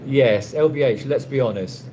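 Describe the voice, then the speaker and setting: A man casually answering viewers' questions on a live stream, rambling and halting with many restarts and a dry, wry humor. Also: mid-range voice, dark tone, a little hiss or hum. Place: condo room.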